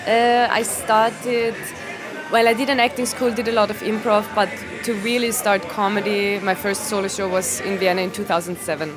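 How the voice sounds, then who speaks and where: Austrian-accented woman comic, mid-pitched and laughing, interviewed at a venue with music playing loud. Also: little pitch movement, a crowd in the background. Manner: laughs often, halting non-native phrasing. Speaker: stand-up comedian, interview guest. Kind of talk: casual interview answers.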